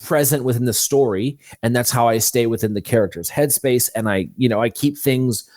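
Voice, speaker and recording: A man's voice: mid-range voice, brisk, darkish tone, no echo, clean studio sound. A man speaking conversationally as an interview guest, an author explaining how he works. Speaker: fantasy author, podcast guest.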